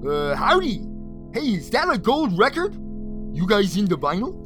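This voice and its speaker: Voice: medium pitch, huge pitch swings, moderate pace. An actor playing a brash, dim slacker adventurer in a comedy audio drama, talking in a goofy, casual way. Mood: easygoing, impressed. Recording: loud music behind the voice.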